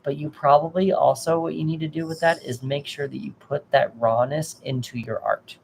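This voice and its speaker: Tarot reader, male, gravelly, mid pitch, reassuring and casual, faint noise behind. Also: little pitch movement, normal speed. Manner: gentle, reassuring, lots of restarts. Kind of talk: live tarot reading, casual advice.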